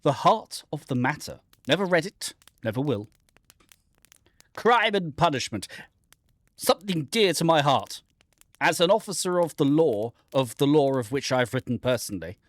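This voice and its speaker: A man's voice: on the high side, expressive, normal speed, dry recording. Theatrical man reading aloud, dry close sound. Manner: theatrical, playful, with dry muttered asides. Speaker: gaming channel host. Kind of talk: dramatic read-aloud of a game script with joking asides.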